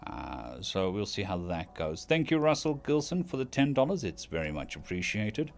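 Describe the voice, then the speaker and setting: A man's voice: lowish voice, big pitch swings, normal speed, gravelly, soft background music. A man from South Africa with a South African accent tinged with British tones, chatting casually on a stream and thanking viewers by name. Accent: South African English with British tones.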